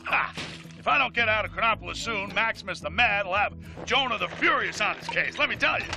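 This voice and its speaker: A man playing a blustery, excitable newsman in a cartoon video game, bombastic and sarcastic in his commentary. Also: sits high, fairly quick, gravelly, over music. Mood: indignant, bombastic. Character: blustery, excitable male newsman ranting on a live stream. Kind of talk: theatrical news commentary, cartoon game acting.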